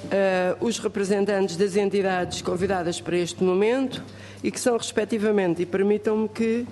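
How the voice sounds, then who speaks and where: A woman's voice: medium pitch, fairly even intonation, moderate pace, a little mellow, long reverb tail. A woman opens and runs a formal hearing. She speaks courteously and sticks to procedure. A faint hum sits under her voice. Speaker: committee vice-chair chairing a hearing.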